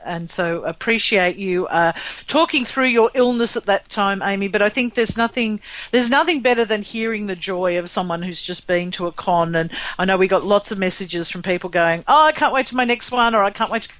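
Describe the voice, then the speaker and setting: A woman's voice: mid-range voice, dry recording. A woman co-hosting a podcast in casual chat, talking with frequent ums and uhs and breaking into laughs. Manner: hesitant, many ums and uhs, warm laughter. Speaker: podcast co-host.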